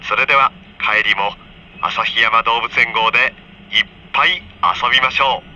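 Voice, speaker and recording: A man reading a scripted onboard train announcement for children in friendly, polite, clearly enunciated phrases, heard over a train PA. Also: mid-range voice, steady tone, moderate pace, pushed and bright. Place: train car, over the PA system. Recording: dull train PA with faint noise.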